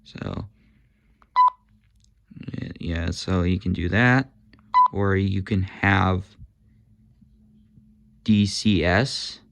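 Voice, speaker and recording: A man's voice: deep voice, very slow, vocal fry, pauses often, a bit bright, no echo. A man casually demonstrating walkie-talkies, hesitant, with many fillers and corrections of himself. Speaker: gadget reviewer.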